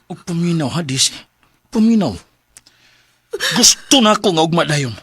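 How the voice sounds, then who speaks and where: Jealous, domineering Cebuano husband. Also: male voice, fairly high pitch, animated, normal speed, a bit bright, husky, no echo, full character voice. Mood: jealous rage. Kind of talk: Filipino radio drama acting. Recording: radio drama with music behind.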